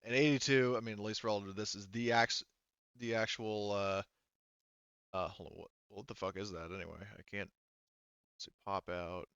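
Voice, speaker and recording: Male game master, smooth low voice, casual rambling delivery, dry close sound, slightly muffled voice-chat audio. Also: steady tone, moderate pace, a bit bright, no echo. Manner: rambling, thinking aloud, casual swearing. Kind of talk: casual tabletop game narration over voice chat. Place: online voice call.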